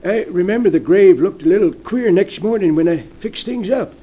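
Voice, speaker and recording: A man's voice: sits high, lively intonation, moderate pace, muffled recording. A man in a 1940s radio drama playing a faithful old manservant, simple and uneasy.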